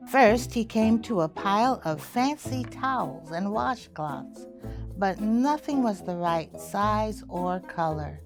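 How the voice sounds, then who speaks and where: A woman reading a children's story aloud with expressive, deliberate, emphatic phrasing. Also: mid-range voice, over music. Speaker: library story reader.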